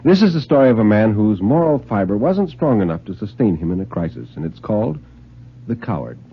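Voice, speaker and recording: A man's voice: fairly low pitch, sing-song, brisk. A man giving a dramatic reading of thriller stories in the style of 1950s radio narration. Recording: lo-fi 1950s radio recording, music behind.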